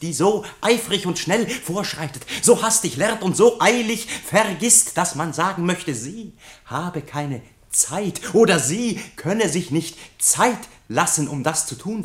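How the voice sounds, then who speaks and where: An actor playing a pompous, long-winded chairman of a literary society in a German radio play, speaking in a deliberate, oratorical way. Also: on the high side. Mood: pompous, solemn. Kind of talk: German radio play acting, mock-solemn speech.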